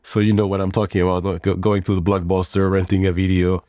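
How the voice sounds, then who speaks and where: Deep-voiced Mexican man, thoughtful guest. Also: level tone, fast talker, dark tone, no echo. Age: 40s. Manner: thoughtful, rambling with frequent restarts and fillers. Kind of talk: conversational interview.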